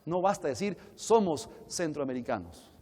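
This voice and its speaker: A Colombian man preaches in a big reverberant hall, his voice pitched a little high. He speaks like a seminar teacher, talking directly to his listeners. He leans on rhetorical questions and slips into acted-out dialogue. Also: sing-song, normal speed, dark tone. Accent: Colombian Spanish. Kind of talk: sermon-like seminar teaching to an audience. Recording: echoey hall with long reverb.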